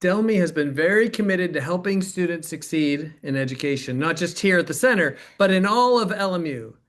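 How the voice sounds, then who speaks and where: A man's voice: sits high, expressive, a little fast. Man playing supportive, explanatory center director. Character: supportive male university center director praising colleague. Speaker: performer portraying a center director. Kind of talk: dramatized interview narrative, conversational.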